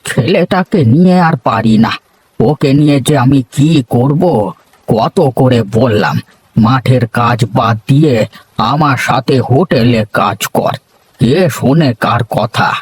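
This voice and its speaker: Slightly husky, fretful older village father played by a man. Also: mid-range voice, measured pace, no echo. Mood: worried. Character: worried older village father running a small eatery. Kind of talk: cartoon voice acting.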